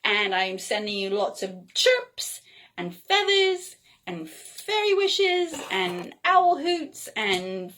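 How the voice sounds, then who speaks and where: A woman reading a children's story aloud in an expressive, whimsical way, with chatty, playful asides. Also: extremely high pitch, takes it slowly. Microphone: phone.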